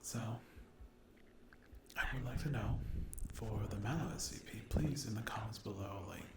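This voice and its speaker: A man speaking softly to viewers in an ASMR style, hesitant and halting, often slipping into a whisper. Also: fairly low pitch. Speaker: ASMR creator addressing viewers. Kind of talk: soft-spoken ASMR update. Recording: echoey room with loud noise, clicks.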